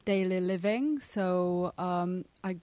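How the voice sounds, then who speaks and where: A woman's voice: lowish voice, slow pace, warm tone, dry recording. A woman from the Republic, a guest foraging teacher talking reflectively, with slightly phrasing. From Czech Republic. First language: Czech. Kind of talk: casual interview answers.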